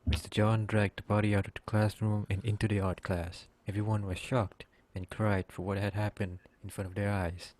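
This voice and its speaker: Narrator: man, lowish voice, monotone, plainly reading a scripted story. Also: moderate pace, hardly any pauses.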